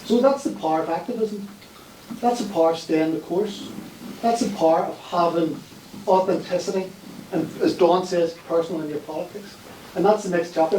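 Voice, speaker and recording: A man's voice: on the high side, darkish tone, slight background noise. A man giving a rousing talk at a public meeting in a large hall. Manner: rousing, oratorical. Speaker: speaker at a political meeting. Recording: echoey hall, voice from far off.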